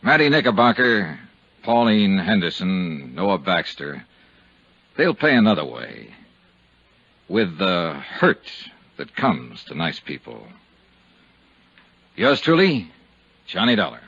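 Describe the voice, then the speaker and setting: Weary hardboiled insurance investigator, clipped and animated, 1950s radio show, dull old recording. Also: male voice, sits low, slow, long pauses, breathy edge, dry recording, full character voice. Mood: rueful. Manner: clipped, punchy. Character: terse, world-weary male insurance investigator. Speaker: lead actor. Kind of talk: 1950s radio drama narration, clipped dictation.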